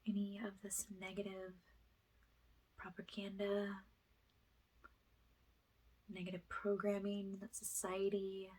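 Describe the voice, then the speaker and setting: A woman leads a spiritual ASMR cleansing in a hushed voice that often slips into a whisper. Her delivery stays flat and even, at a medium pitch. Some background noise and small clicks sit behind her. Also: slow, pauses often, poor audio. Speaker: ASMR energy healer.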